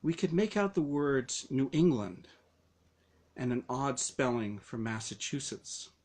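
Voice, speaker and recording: A man reading his own first-person story aloud as narration, with dramatic, deliberate phrasing. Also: medium pitch, darkish tone.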